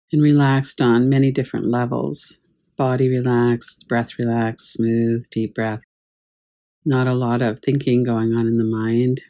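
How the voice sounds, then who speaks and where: A woman teaching guided meditation in a calm, measured, gently instructive way. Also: very deep voice. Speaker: yoga nidra meditation teacher.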